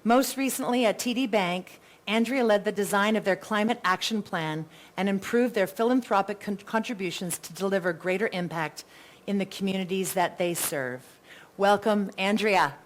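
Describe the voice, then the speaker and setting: A woman's voice: mid-range voice, normal speed, slight background noise. A Canadian woman moderating a live candidates' debate, chatty, with playful self-deprecating asides and a big voice. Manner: says she has a really big voice.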